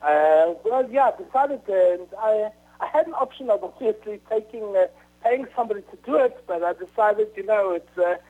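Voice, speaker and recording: A middle-aged man with a South African accent answering interview questions in a halting way, full of uhs and restarts. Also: sits high, lively intonation. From Rhodesia, now Zimbabwe. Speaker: author guest. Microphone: phone. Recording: tinny phone line.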